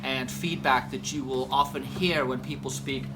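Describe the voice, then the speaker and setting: A man's voice: medium pitch, fairly even intonation, normal speed, over loud music, low-quality recording. A man hosting an informal instructional video, speaking emphatically with playful turns of phrase. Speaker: host of a gear and vehicle channel.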